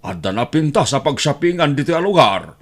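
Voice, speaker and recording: A man's voice: on the high side, big pitch swings, brisk. A man playing an easygoing but bossy middle-aged uncle in an Ilocano audio drama, with an Ilocano accent. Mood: cheerful, commanding.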